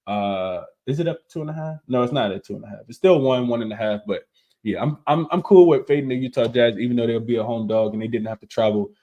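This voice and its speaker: A man's voice: medium pitch, mellow, highs cut off. A man who is losing his voice, hosting a casual sports betting show, talking through his picks with many fillers and repeated words. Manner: filler-heavy, repeats words, quick asides. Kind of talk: casual picks analysis to viewers.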